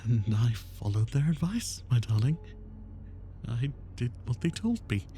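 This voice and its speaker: A man playing an obsessive, lovesick kidnapper in an intimate roleplay ASMR monologue, speaking in a confiding, anguished and menacing way, often dropping into a breathy whisper. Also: medium pitch, sing-song, unhurried, music in the background. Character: obsessive, lovesick male yandere kidnapper, tender yet menacing.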